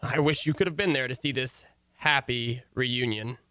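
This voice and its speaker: A man plays a wry, exasperated husband in an old-time radio comedy. His voice is middling in pitch and sharply bright, and he slips easily into narrating. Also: normal speed, clean tone, dry recording, lightly acted.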